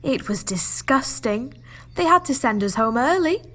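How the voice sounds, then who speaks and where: An actress playing a pert, teasing young farm girl in an audio pantomime, brisk, cheeky and expressive. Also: sits high, heavily acted.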